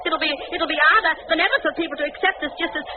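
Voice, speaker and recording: An actress playing a big, blunt but soft-hearted sideshow woman in 1940s radio drama style, with sassy, colloquial speech. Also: very high-pitched, rapid-fire. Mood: pleading. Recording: noisy, tinny old radio recording.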